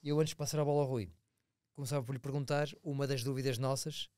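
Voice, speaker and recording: A man's voice: mid-range voice, fairly even intonation, fast, dark tone, smooth, no room sound, clean studio sound. A man with a European Portuguese accent presenting an interview podcast as its host.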